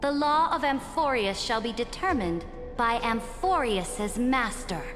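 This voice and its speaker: A proud, calculating empress from a video game. She speaks very slowly and regally in a fairly high voice, with long hall reverb and music underneath. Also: animated. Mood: proud, resolute. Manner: regal, deliberate, commanding. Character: imperious, ambitious female emperor, proud and calculating. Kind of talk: scripted video game voice acting.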